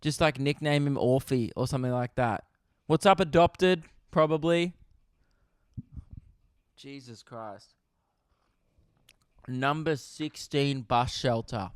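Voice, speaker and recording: An Australian man riffs through a casual podcast monologue, swearing freely and laughing at his own jokes. His voice is smooth and resonant, recorded close on a dry microphone with no room sound. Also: medium pitch, takes it slowly, pauses often, no room sound. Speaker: comedian podcast host. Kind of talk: casual solo podcast chat.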